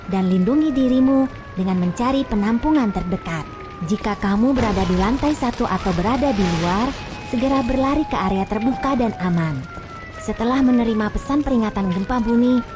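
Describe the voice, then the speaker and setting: A young woman voicing a friendly female tour guide in a kids' educational cartoon, explaining things patiently and clearly. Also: fairly high pitch, natural voice.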